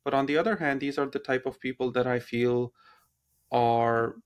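A man's voice: mid-range voice, fairly even intonation, normal speed, clean tone. A man hosting a podcast, asking probing questions over a video call from his home and rephrasing them as he goes. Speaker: podcast host. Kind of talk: interview questions. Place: room at home, indoors. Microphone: laptop on a video call.

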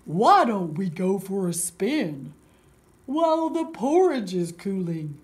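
A woman voicing a big, grumpy old papa bear in a children's storybook, blustery and booming. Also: big pitch swings, slow pace, darkish tone. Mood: gruff, bluff. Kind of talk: storybook read aloud for children.

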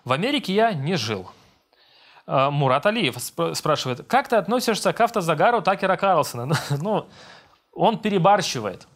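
Young male dubber, casual home Q&A, relaxed and self-mocking, light voice. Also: on the high side, moderate pace. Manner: relaxed, self-deprecating, reads comments then answers. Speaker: voice-over dubber answering viewer questions. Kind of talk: casual Q&A vlog reading comments. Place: home room with monitors, indoors.

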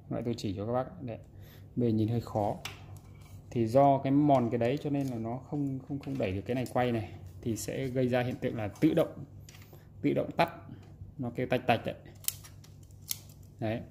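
A man's voice: medium pitch, warm tone. Man explaining repairs, noisy background. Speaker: repairer presenting a repair video. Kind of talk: casual hands-on repair explanation. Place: indoors at a repair workbench. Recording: background noise, room ambience.

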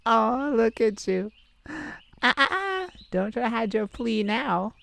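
A woman voicing a sweet, caring boyfriend in an intimate ASMR roleplay, tender, gently teasing and coaxing. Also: a little high, big pitch swings, slow pace, vocal fry, no room sound, heavily acted. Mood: affectionate, teasing. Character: sweet, caring young boyfriend comforting his partner.

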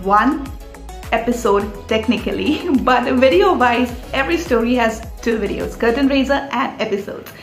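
Indian-accented female storyteller, expressive and explanatory, higher register, music underneath. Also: normal speed. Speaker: storyteller and author hosting a folktale series. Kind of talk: enthusiastic scripted presentation to viewers. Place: small room indoors. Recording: roomy echo with music underneath. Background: background music.

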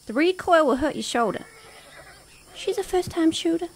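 An actress playing a confident rancher and former sharpshooter in a radio drama. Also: female voice, extremely high pitch, lively intonation, moderate pace, slight background noise. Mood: breezy. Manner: breezy, confident.

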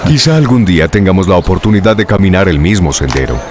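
An actor playing a courteous, kindly high-ranking cleric with a polite, measured manner, in a dubbed film. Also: male voice, expressive, music in the background. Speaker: monsignor.

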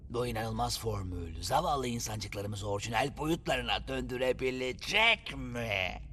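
Guy doing a ominous mocking cartoon narrator, very slow and sharp, echoey hall. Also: medium pitch, expressive, over music, lightly acted. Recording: echoey hall, faint noise.

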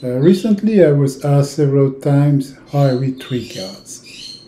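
Older French-accented man, very dark mellow voice. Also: mid-range voice, lively intonation. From francophone Europe. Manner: halting, with long hesitations. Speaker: tarot teacher. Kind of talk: informal instructional talk to camera. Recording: roomy, echoing indoor sound.

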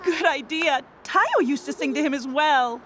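A woman's voice: sits high, expressive, moderate pace, very noisy. A scolding mother passenger. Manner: scolding, prim. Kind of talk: animated series voice acting.

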